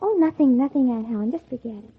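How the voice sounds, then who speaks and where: A woman's voice: high voice, fast, slightly rough. Scheming teenage girl, old tinny radio. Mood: feigned shame. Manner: feigned sweet innocence turning coldly bitter. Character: scheming, spiteful teenage girl narrating her own revenge. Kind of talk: 1940s radio drama acting. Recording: muffled, tinny old 1940s radio recording.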